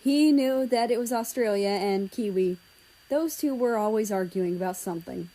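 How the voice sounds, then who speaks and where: A woman reads a story aloud as narrator. Her voice sits at an average pitch for a woman, following a written script. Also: normal speed, mellow, rough recording. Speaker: story reader.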